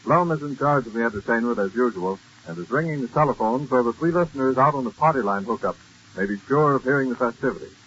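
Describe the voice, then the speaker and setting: A man's voice: mid-range voice, lively intonation, quick pace, fairly bright tone, breathy edge. A man working as a radio announcer, reading scripted narration and sponsor messages in 1930s broadcast style. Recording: dull 1930s radio broadcast, faint music.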